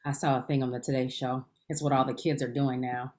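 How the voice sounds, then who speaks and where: A woman's voice: very deep voice, flat delivery, brisk, darkish tone. A woman playing a sassy, blunt, man-hungry best friend in a comedy table read, brassy and emphatic. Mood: teasing, blunt.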